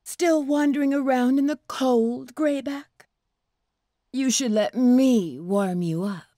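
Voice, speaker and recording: A woman's voice: high-pitched, takes it slowly, dark tone, no echo, studio quality. A woman voicing a sultry, mocking mercenary villainess in a fantasy game, with a teasing, languid delivery. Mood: seductive taunting.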